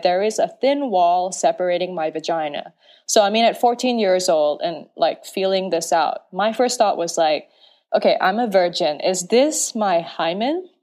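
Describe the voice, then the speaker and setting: A woman's voice: fairly low pitch, a little mellow. A young woman from Malaysia, a podcast guest telling her personal story in a frank, playful and articulate way. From Malaysia, Penang.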